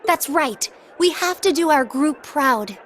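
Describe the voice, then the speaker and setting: Game role: courteous schoolgirl-age student, high voice, earnest and thoughtful, faint ambience. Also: lively intonation, measured pace, slight background noise. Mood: resolute. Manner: polite, earnest. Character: polite, thoughtful young female student. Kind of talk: English-language game voice acting.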